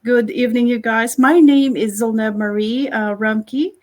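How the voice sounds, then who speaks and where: A middle-aged woman from the Philippines, a guest chatting on a video call, talking warmly with many fillers and soft laughs. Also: a little high, steady tone, a little slow. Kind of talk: casual interview chat.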